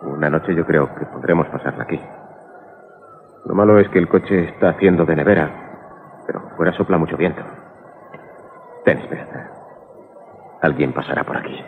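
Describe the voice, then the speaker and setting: A man's voice: rumbling low, moderate pace, long pauses. An actor playing a reassuring, stubbornly hopeful father and husband in a radio drama, with an accent from Spain. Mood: resigned. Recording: noisy narrow-band old radio recording.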